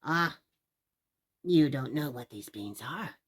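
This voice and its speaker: Here is a funny-looking old storybook man played by a woman, pitched down and husky. He talks slowly and knowingly, coaxing in a hushed, secretive way. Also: animated, exaggerated voice.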